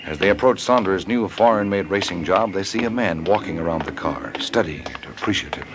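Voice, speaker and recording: A man's voice: sits low, lively intonation, brisk. A man announcing and narrating a scripted 1950s radio show. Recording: noisy old radio recording.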